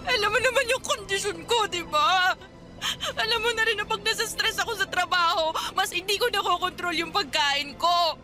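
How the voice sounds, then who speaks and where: A hurt, angry young Filipina-accented woman in a radio drama, her voice very high and tearful. She accuses and pleads in repeated questions, with music clearly playing behind her. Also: normal speed, sharp tone.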